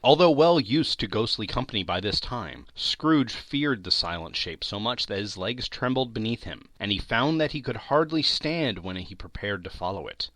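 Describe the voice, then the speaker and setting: Guy narrating an audiobook, kinda low bright voice, very dramatic, deliberate phrasing, swoops around a lot. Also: lively intonation, no echo. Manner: theatrical, deliberate phrasing with emphatic word-by-word lists. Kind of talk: dramatic scripted literary narration.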